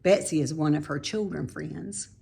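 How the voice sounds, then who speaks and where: A woman reading a children's story aloud, with expressive, deliberate phrasing for young listeners. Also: low voice, vocal fry, husky. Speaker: storybook reader, teacher.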